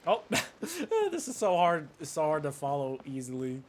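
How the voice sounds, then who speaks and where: A man giving casual, jokey gameplay commentary as a streamer, goofy and excitable, mimicking characters as he goes. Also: fairly high pitch, unhurried, a little hiss or hum. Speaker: game streamer.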